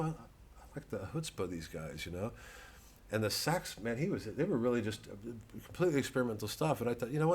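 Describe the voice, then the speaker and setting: Rambling male comedian, mid-pitched voice. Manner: halting, digressive, with repeated words. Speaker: comedian, host. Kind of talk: rambling casual monologue to an audience.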